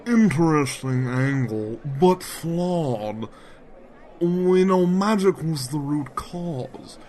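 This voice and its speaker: An actor playing a formal, calculating magician in a comedic fantasy audio drama, with measured and deliberate phrasing. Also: male voice, a little high, expressive, mellow. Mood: smooth, formal. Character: formal, calculating male magician offering a deal.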